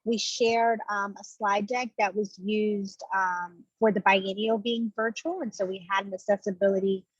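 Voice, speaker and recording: Husky woman on a muffled video call. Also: medium pitch, steady tone, moderate pace, few pauses. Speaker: meeting participant adding a reminder. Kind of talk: informal meeting announcement. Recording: muffled, roomy-free dry lo-fi call audio.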